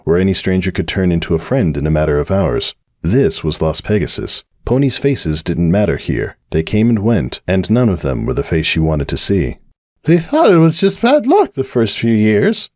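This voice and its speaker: Expressive low male narrator. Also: dry recording, studio quality. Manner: expressive storytelling. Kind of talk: dramatic audiobook reading.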